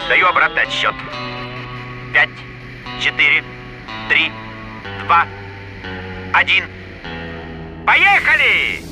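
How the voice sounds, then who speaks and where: A man's voice: fairly high pitch, huge pitch swings, slow pace, long pauses, full character voice. A man voicing a grumpy, stubborn know-it-all bear in a cartoon, blustering and whining as he stammers complaints. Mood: bossy, excited. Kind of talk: animated cartoon voice acting. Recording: music behind the voice.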